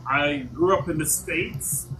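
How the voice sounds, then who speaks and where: A man from Jamaica hosting a casual live chat, talking haltingly with many repeats and restarts. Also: fairly high pitch, pushed and bright, faint music behind. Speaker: livestream host. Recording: noisy stream audio.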